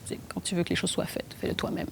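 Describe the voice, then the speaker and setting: A young adult woman from Belgium, talking fast with many restarts in a documentary interview. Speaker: actress and playwright.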